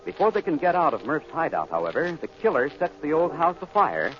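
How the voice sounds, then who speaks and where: A man's voice: fairly high pitch, wildly swooping pitch, brisk, faint music behind. An American man giving dramatic scripted narration as the announcer of a radio drama. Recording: muffled old radio recording.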